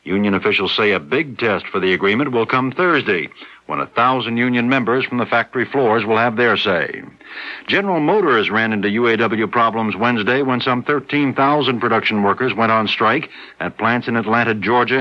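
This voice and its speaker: Mid-pitched American male radio newsreader. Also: animated, a little fast. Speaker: network radio news reporter. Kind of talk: scripted news bulletin. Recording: dull vintage radio broadcast.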